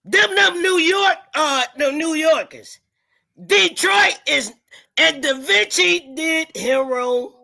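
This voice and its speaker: A woman telling stories in casual, slangy talk, with emphatic points and halting, repeated starts. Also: very high-pitched, vocal fry, bright, forceful tone. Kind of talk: casual chat, storytelling.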